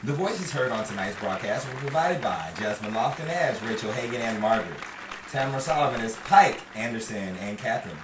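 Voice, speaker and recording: A man's voice: medium pitch, expressive, a little fast, crowd noise. A man reading out cast credits as an announcer in the style of an old-time radio sign-off. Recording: very noisy crowded room, echoey, distant.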